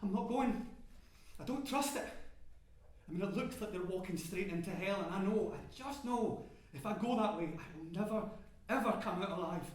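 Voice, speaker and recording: An actor playing a small, scared oil rig worker in a dramatic monologue, agitated, stammering and sweary. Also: male voice, high-pitched, normal speed, lightly acted. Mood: frightened, insistent. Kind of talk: dramatic first-person stage monologue. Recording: very echoey room.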